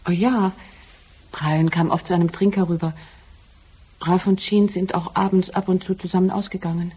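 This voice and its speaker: An actress playing a shaken, loyal and discreet secretary in a German radio crime drama, speaking softly and guardedly. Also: female voice, fairly low pitch, flat delivery, normal speed, husky. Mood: reserved, defensive.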